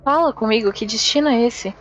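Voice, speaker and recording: A woman's voice: a little high, a little fast, lightly acted. A woman with a Brazilian accent playing a concerned, caring adventurer in a tabletop game, earnestly coaxing. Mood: worried coaxing. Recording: slightly dull, music behind.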